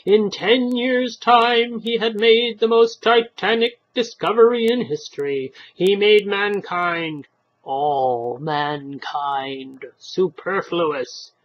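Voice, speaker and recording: A woman's voice: medium pitch, slow pace, dull audio. A woman voicing a coldly menacing male android who lectures formally and pompously in a storybook reading. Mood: grandiose.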